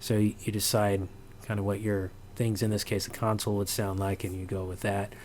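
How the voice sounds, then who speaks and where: A man giving an informal sound design tutorial, halting as he thinks aloud. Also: fairly low pitch, flat delivery, a little mellow, a little hiss or hum.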